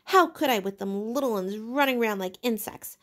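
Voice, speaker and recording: A woman voicing an indignant, frightened schoolboy in a storybook, protesting plaintively in rough colloquial grammar. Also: fairly high pitch, fairly quick, natural voice. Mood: indignant, defensive. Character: indignant, frightened, put-upon schoolboy, pleading to be heard.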